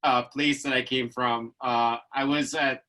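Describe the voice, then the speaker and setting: Trinidadian-accented male doctor, middle-aged, bright tone, chatty anecdotal delivery. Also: medium pitch, level tone, unhurried. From Trinidad and Tobago. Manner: chatty, storytelling with fillers like "like" and "you know". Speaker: infectious disease physician guest. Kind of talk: relaxed anecdotal conversation.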